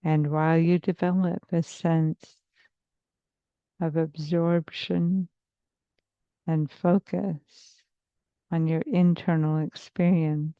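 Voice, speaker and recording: Slow, low-voiced woman guiding a meditation. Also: level tone, no room sound, muffled recording. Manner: soothing, measured, hypnotic phrasing with long pauses. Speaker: therapist leading a guided meditation. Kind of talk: slow guided meditation narration.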